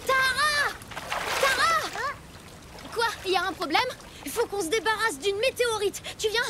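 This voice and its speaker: A woman's voice: very high voice, expressive, a little slow, very noisy. A woman voicing a plucky, caring young child in a cartoon, eager and childlike in delivery. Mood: urgent. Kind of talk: French animated cartoon acting. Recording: music behind the dialogue.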